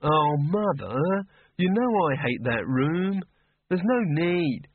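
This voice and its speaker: A man's voice: fairly high pitch, animated, takes it slowly, slightly rough, pitched above the performer's own voice, exaggerated voice. A man voicing a weary, patient young woman answering her mother. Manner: weary, resigned. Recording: muffled phone-like audio, dry.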